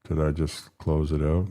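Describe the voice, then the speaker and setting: Very deep-voiced man chatting on stream. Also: dark tone. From Canada. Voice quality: deep rumbling voice. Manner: frustrated, rambling about stream settings. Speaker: political livestream host. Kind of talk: casual livestream chat.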